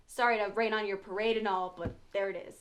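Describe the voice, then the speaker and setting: A woman's voice: mid-range voice, fairly even intonation, fast, a bit bright, lightly acted. A woman playing a sarcastic, possessive lovesick ghost in a comedy web series, with a cutting delivery. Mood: sarcastic, smug. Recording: roomy, echoing sound.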